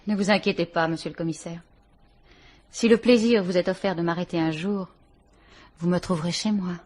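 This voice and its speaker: A woman's voice: lowish voice, fairly even intonation, brisk, a little mellow, lightly acted. A woman playing a cool defiant murder suspect. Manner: icy, haughty and sarcastic. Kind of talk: vintage radio drama acting.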